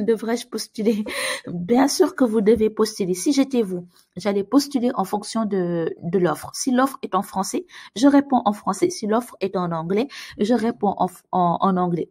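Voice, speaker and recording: A woman's voice: medium pitch, darkish tone, breathy edge. A woman hosting an advice channel, answering viewer emails in a casual, friendly way with many hesitations and fillers. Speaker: immigration advice channel host.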